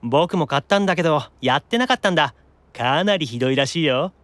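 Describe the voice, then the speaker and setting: A man acting out a sulky, excitable young otaku demon with a petulant, teasing manner in an improvised audio drama. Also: a little high, fast, no echo, voice pushed down. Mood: gleeful, scheming.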